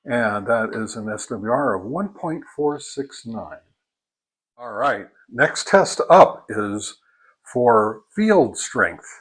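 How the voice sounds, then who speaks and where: An older American man giving a casual, unhurried product review, explaining things step by step. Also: medium pitch, fairly bright tone. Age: older, 60s or above.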